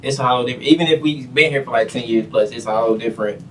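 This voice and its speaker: A man's voice: mid-range voice, fast talker, fairly bright tone, distant mic, slight background noise. Laid-back young man hosting a chat. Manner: laid-back, frequent fillers like "you know what I'm saying". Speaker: podcast host. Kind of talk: casual interview chat.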